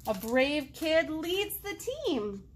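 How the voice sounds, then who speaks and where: A woman in her thirties reading a picture book aloud to young children as a storytime host, expressively, pausing to ask them questions. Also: sits high. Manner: expressive, child-directed, asks questions and pauses. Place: indoor room, seated.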